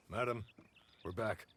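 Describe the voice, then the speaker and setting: An actor playing a polite, deferential manservant who speaks in a formal, servile manner. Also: male voice, on the low side, a bit bright, slightly rough, heavily acted. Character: deferential male servant to a rich widow. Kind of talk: video game cutscene acting.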